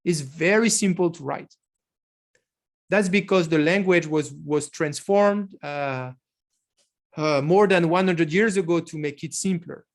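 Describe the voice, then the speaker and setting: A man's voice: a little high, a little slow. Middle-aged guy lecturing over a webcam, explains step by step, restarts a lot. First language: French. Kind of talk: online lecture.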